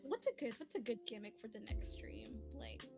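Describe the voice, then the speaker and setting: A woman's voice: medium pitch, huge pitch swings, moderate pace. A woman hosting a casual livestream, chatting in a giggly, self-deprecating way. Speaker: streamer, channel host. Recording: music playing behind the voice. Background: background music.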